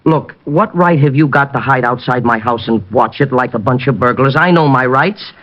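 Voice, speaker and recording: An actor plays an evasive, easily rattled man of business in a vintage radio crime drama. His voice sits at a middling pitch and stammers defensively. The old recording is dull and narrow. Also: normal speed, natural voice. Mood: defensive, flustered. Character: evasive laundry-owner suspect, man, increasingly rattled under questioning.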